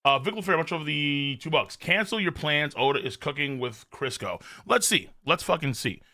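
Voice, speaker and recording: A man hosting a livestream, chatting casually in a loud, animated, rambling way with lots of swearing and a slightly strained throat. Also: fairly high pitch, normal speed. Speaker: live streamer, host. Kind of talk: casual livestream chat, reading viewer messages.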